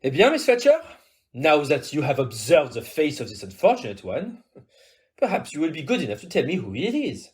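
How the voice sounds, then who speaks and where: A man's voice: fairly high pitch, huge pitch swings, brisk. A man playing a courteous, self-assured Belgian detective in an audio play, with a French-speaking Belgian accent and formal, precise, theatrical phrasing. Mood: brisk, formal.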